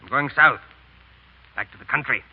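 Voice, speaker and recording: An actor playing a frank, principled middle-aged French painter, speaking with earnest reproach in the manner of 1930s radio drama. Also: male voice, mid-range voice, gravelly, husky. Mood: earnest, regretful. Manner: earnest, reproachful, deliberate phrasing. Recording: tinny, narrow 1930s radio broadcast.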